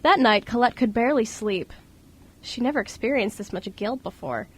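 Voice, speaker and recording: Female narrator for an amateur radio drama, higher-pitched voice, reading a scripted story. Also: animated, moderate pace, slightly rough. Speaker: radio show co-host and narrator.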